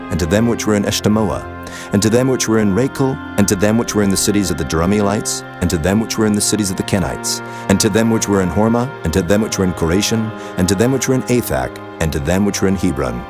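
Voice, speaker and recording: A man narrating scripture from a script in a solemn, measured storytelling manner. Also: sits low.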